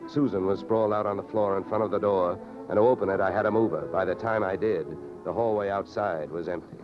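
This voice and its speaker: A man's voice: low-pitched, steady tone, quick pace, highs cut off, close to an everyday voice. An American man playing a wisecracking insurance investigator in old radio detective drama style, clipped, sardonic and pushy. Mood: dry narration. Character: hardboiled, wisecracking male freelance insurance investigator. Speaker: lead actor and first-person narrator. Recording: vintage radio recording, music behind.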